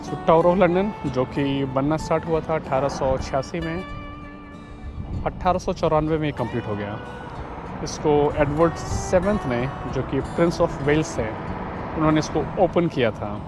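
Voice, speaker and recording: A man's voice: on the high side, measured pace, music in the background. A man giving a casual walking tour outdoors, mixing English words into his speech and sometimes searching for words. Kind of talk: casual tour narration to camera. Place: outdoors on city streets by a river.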